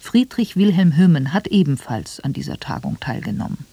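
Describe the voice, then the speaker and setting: A man's voice: on the high side, very animated, a little fast, gravelly, dark tone, husky. A man reading scripted narration as second narrator of a radio documentary.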